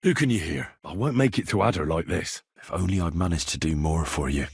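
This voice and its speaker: A man playing a young, earnest, plucky medieval blacksmith turned adventurer in a video game, with a plain-spoken, expressive delivery. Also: low voice, fairly quick, slightly rough, no echo, studio quality, exaggerated voice. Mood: low, troubled. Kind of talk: video game voice acting, dramatic dialogue lines.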